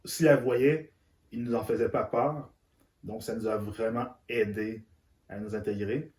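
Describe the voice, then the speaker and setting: A man's voice: on the low side, fairly even intonation, a little slow, a little mellow. A man, a guest talking candidly and earnestly, often stumbling and repeating words. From Central Africa. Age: 30s. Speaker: interview guest. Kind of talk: candid conversation. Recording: roomy echo.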